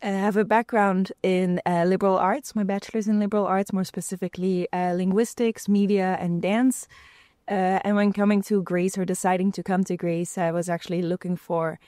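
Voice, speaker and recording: A woman from Belgium, a student introducing herself in a thoughtful way with many pauses and fillers. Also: medium pitch, fairly even intonation, dark tone, no echo, clean studio sound. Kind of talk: self-introduction.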